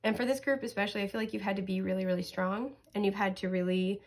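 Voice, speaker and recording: A sniffly woman reading tarot cards in a chatty, reassuring way, with many fillers and soft laughs. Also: medium pitch, steady tone, quick pace. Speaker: tarot card reader. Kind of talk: casual pick-a-card tarot reading.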